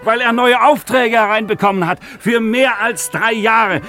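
Exuberant, high-voiced young working man. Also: normal speed. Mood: excited. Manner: excitable, laughs through his speech. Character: exuberant young working-class craftsman in love, defiantly hopeful. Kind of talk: 1950s German radio drama acting. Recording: 1950s radio recording, music behind.

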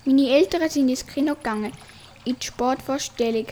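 A daring, bossy boy, voiced by a woman, speaks in a high voice. He has the challenging, commanding manner of a ringleader in a children's audio play. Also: moderate pace, dark tone. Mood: eager, impatient. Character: bossy, daring boy who goads his friend.

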